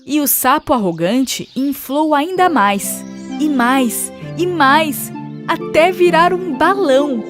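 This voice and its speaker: High-voiced Brazilian woman storyteller over music. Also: expressive, takes it slowly. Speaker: narrator. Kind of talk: scripted children's story narration. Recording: music behind narration.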